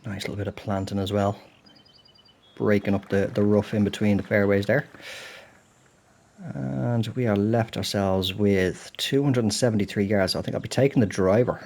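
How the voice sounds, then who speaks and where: A man's voice: on the low side, steady tone, normal speed, vocal fry, darkish tone. A British man hosting a golf video game playthrough, chatty and thinking aloud, sounding a little throaty and stuffed up from a cold. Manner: chatty, apologetic, thinking aloud while playing. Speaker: gaming channel host. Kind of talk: relaxed golf game commentary.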